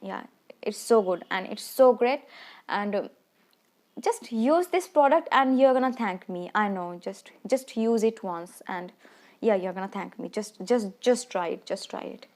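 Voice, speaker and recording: A woman's voice: mid-range voice, a little slow, a little mellow. A woman who rambles through a casual product review, full of restarts and fillers, a little self-conscious. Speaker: beauty vlogger.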